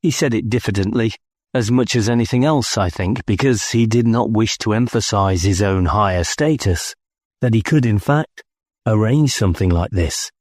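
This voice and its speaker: A man's voice: fairly low pitch, normal speed, darkish tone, dry recording, studio quality. A man reading scripted first-person story narration as an audiobook narrator.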